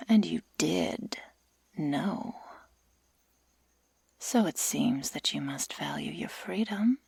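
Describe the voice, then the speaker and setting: A woman playing a sadistic, menacing werewolf girl in an ASMR roleplay, taunting in a breathy whisper with slow, mock-sweet deliberation. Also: deep voice, mellow, dry recording, full character voice. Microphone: close microphone.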